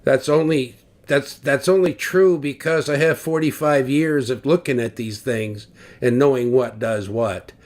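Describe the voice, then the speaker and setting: An older man slowly explaining loudspeaker measurements, talking deliberately with fillers and restarts, recorded at home on a webcam. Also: medium pitch. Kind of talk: technical explanation with drawings. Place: home living room, indoors.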